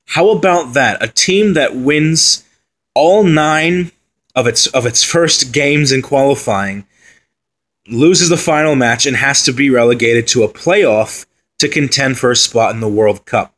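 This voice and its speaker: A man's voice: medium pitch, unhurried, a bit bright. Casual man previewing a football side. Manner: conversational, with fillers and asides. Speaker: football pundit. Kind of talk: solo commentary on team previews.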